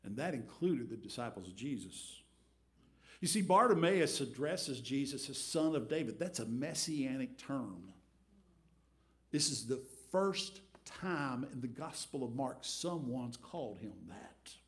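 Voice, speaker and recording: A middle-aged man preaching a storytelling sermon from a church pulpit, swinging between big acted-out crowd voices and quiet asides. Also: medium pitch, animated, unhurried. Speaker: pastor. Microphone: headset mic.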